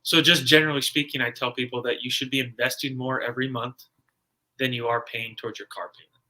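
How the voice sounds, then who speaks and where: A man's voice: medium pitch, fairly even intonation, quick pace, sharp tone, poor audio. A man from the United States, an interview guest, explaining and advising in a casual, plainspoken way. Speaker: guest, personal finance author.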